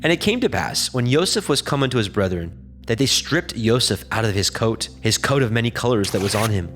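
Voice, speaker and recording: A man narrating scripture as a scripted reading, in a measured, solemn storytelling manner. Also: medium pitch, lively intonation, fairly bright tone, faint music behind.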